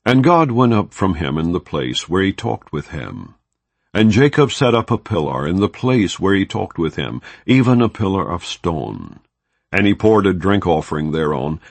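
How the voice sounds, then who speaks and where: A very deep male voice reads scripture in a slow, solemn, measured way. His pitch swings widely, rising high and dropping low from phrase to phrase. The sound is dry and close, with no room around it. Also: dry recording. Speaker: scripture reader.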